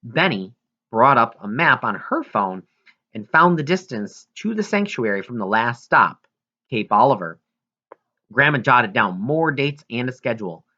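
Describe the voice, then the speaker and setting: A man reading a first-person story aloud in an expressive, earnest way, now and then stumbling. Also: mid-range voice, measured pace, dull audio. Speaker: audiobook reader.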